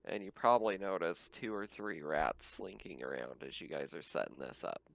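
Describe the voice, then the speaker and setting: A man acting as game master in a casual tabletop game, narrating haltingly over a slightly dull recording. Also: sits low, gravelly.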